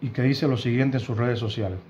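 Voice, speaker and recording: A man in his fifties from Cuba with a Cuban Spanish accent, giving earnest, indignant news commentary to camera from a room at home, often pausing and restarting. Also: mid-range voice, steady tone, darkish tone, dull audio, slight background noise. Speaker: host of a news commentary channel. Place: home room with bookshelves, indoors.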